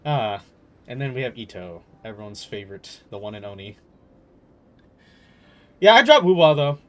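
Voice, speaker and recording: A man giving casual gaming commentary as a streamer, talking in an animated, slangy, exasperated way. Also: medium pitch, normal speed, a little hiss or hum. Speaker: game streamer.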